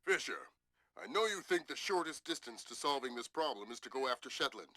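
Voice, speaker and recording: An actor playing a serious intelligence chief briefing his agent with measured authority and urgency in a video game. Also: mid-range voice, big pitch swings, bright, forceful tone, no echo, clean studio sound. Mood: grave, urgent.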